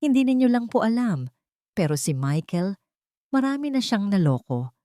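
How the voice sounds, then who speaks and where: A woman's voice: mid-range voice, big pitch swings, moderate pace, warm tone, dry recording, clean studio sound, pitched above the performer's own voice, lightly acted. A woman voicing a grave, loyal man who speaks politely and earnestly in an audiobook drama. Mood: grave, confessing. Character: grave, respectful man, loyal helper seeking truth.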